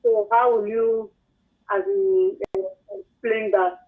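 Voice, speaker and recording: A woman's voice: on the high side, big pitch swings, takes it slowly. A woman calling into a talk show with a question, speaking hesitantly with many fillers. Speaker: caller. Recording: tinny phone line.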